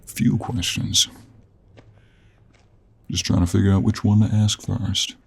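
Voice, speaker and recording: A man playing a gruff, teasing cowboy monster hunter in an intimate audio roleplay, with a gravelly, husky voice and an American Western drawl. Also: fairly low pitch, little pitch movement, full character voice. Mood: matter-of-fact, wry. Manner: slow, teasing drawl with folksy endearments.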